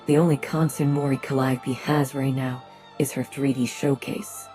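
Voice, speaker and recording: A woman's voice: a bass voice, steady tone, moderate pace, warm tone, soft background music. A woman acting as a pompous, sarcastic, self-important female company manager in an audio drama. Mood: condescending.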